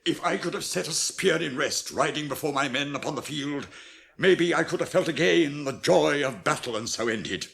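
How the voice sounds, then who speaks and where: Proud aged king, British accent, solemn, brooding, creaky, expressive intonation, radio drama. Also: fairly high pitch, normal speed, exaggerated voice. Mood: restless, frustrated. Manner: solemn, archaic, declamatory. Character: proud, weary old warrior king, grave and brooding.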